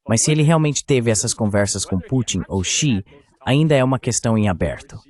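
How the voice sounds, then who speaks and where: A man's voice: mid-range voice, expressive, a little fast, a little mellow. A man giving translated voice-over political commentary, opinionated and fond of rhetorical questions.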